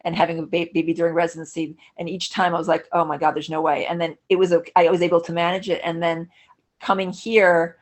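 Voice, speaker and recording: Chatty low-voiced woman, lots of restarts. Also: little pitch movement, fast. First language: Russian. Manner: thoughtful, self-correcting, frequent restarts and fillers. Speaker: podcast guest. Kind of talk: casual conversational interview.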